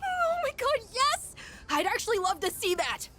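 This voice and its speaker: A woman voicing an eager, polite, excitable young boy with an American accent in a video game. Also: very high voice, normal speed, heavily acted. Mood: thrilled. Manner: excitable, earnest. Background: game music.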